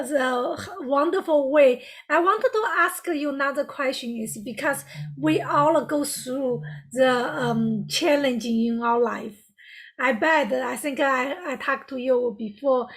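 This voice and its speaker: A woman hosting a podcast interview, asking questions in a halting way, searching for words. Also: sits high, a bit bright. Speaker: podcast host.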